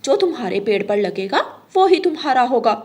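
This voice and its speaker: Woman doing bossy greedy cartoon crow lady, high and loud, very swoopy, scornful. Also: big pitch swings, fairly quick, slightly rough. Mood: scornful, boastful. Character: bossy, spiteful female crow, greedy and boastful.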